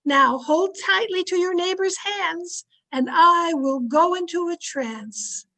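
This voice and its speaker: A woman performs a bossy, self-important society medium for an old-time radio play. Her voice sits high and moves at a stately, slow pace. She is theatrical and imperious, leaning hard on her words. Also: sharp tone, exaggerated voice. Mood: haughty, insistent. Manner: theatrical, imperious, grandly emphatic. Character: pompous, bossy society wife playing self-styled medium. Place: remote recording over a video call.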